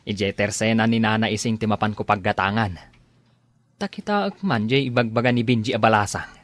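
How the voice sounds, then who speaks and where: An easygoing Ilocano village drinking buddy acted by a man for an audio drama. His pitch is middling and the recording is dry and close. He talks colloquially and gets bashful and halting around women. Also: no echo, lightly acted. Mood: casual, chummy.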